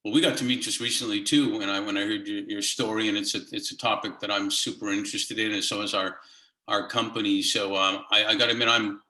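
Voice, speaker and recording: A man's voice: lowish voice, steady tone, quick pace, bright, forceful tone. A man hosting a casual interview on a video call in an upbeat, informal way. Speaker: interview host. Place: indoors on a remote video call. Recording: roomy, echoey room sound.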